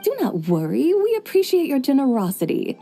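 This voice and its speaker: A woman voicing a calm, formal female traveler in a video game, speaking in a measured and precise way. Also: high-pitched, soft background music, exaggerated voice. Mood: composed.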